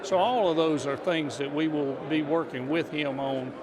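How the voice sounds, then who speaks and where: A male state senator, answering an interview in a measured, diplomatic way. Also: on the high side, crowd noise. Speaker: state senator, party leader. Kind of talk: political interview response. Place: large indoor statehouse lobby. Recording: noisy public lobby ambience.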